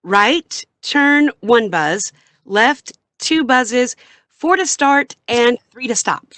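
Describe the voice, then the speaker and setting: High, animated worried young woman , acted by a woman. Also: extremely slow, dry recording, heavily acted. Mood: anxious. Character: worried, excitable young female companion Dodo. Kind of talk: audio drama acting.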